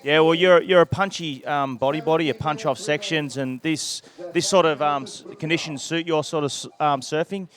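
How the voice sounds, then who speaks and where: A man's voice: on the high side, steady tone, normal speed, hardly any pauses, a bit bright. Male trackside interviewer, handheld mic, rambling friendly questions. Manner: chatty, rambling questions, hesitant fillers. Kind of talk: post-heat interview. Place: outdoor beach event interview backdrop.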